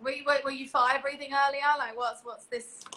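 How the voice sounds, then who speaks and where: A woman's voice: high-pitched, steady tone, brisk, bright, forceful tone. A young woman guest chatting casually on a video call, laughing often and restarting her sentences. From England. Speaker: guest performer. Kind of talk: casual interview chat.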